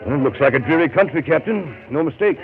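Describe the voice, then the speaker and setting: An actor playing a brooding seafarer who recounts a jungle voyage in a measured, literary, dramatic 1930s radio style. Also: male voice, a little high, expressive, faint music behind. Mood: guarded, curious. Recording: scratchy, narrow-band 1930s radio broadcast.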